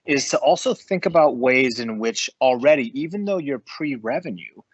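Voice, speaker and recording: A man's voice: mid-range voice, expressive, normal speed, husky, no room sound, dull audio. A man giving earnest, emphatic advice as an investor on a panel over an online call. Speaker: investor and business adviser on a panel.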